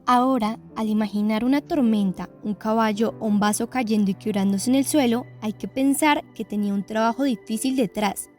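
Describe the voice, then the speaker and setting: A woman's voice: on the high side, moderate pace, few pauses, a little mellow, soft background music. A woman reading scripted narration as a documentary presenter.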